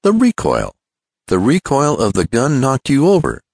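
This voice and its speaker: A man's voice: mid-range voice, wildly swooping pitch, measured pace, vocal fry, darkish tone, dry recording, clean studio sound, voice pushed up, full character voice. A man voicing an earnest, confident young male inventor in an adventure storybook, explaining things keenly. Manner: explanatory, keen.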